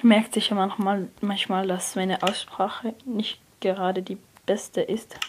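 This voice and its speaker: A young person, likely a teen, giving hesitant gameplay commentary, often correcting themselves and dropping to a near whisper. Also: female voice, medium pitch, flat delivery, slow pace, a little mellow. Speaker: let's player. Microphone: phone screen recorder mic. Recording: noisy lo-fi phone recording.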